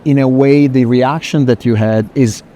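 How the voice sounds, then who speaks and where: A man's voice: medium pitch, fairly even intonation, moderate pace, warm tone, dull audio. A man moderating a casual conversation at an art fair, thoughtful and often rephrasing as he goes. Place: art fair, walking tour. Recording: faint noisy fair hall ambience.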